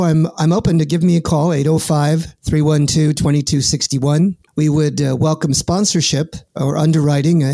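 A man hosting a podcast, folksy and energetic, often restarting his words, with a dry close-mic sound. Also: a little high, gravelly, dry recording. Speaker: podcast host. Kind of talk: casual interview with promo reads.

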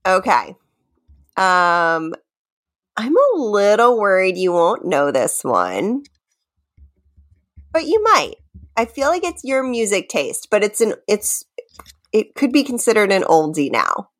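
A woman's voice: mid-range voice, wildly swooping pitch, takes it slowly, pauses often, a bit bright. A woman co-hosting a podcast game in casual, playful chat, giggly and teasing, sometimes reciting lines dramatically. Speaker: podcast co-host running a guessing game.

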